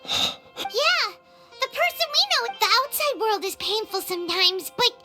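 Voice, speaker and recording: A tiny floating fairy sidekick in a video game. The childlike voice is squeaky and extremely high, swinging widely with excitable indignation. Loud music plays behind it. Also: female voice, unhurried, heavily acted. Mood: surprised. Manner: exclamatory, speaks of self in third person. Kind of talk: cartoonish video game acting.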